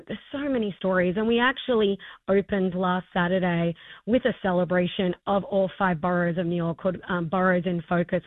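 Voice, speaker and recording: A hoarse woman in her forties with an Australian accent, a festival co-founder answering interview questions enthusiastically, with many fillers and restarts. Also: medium pitch, no room sound. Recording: tinny phone line, dry.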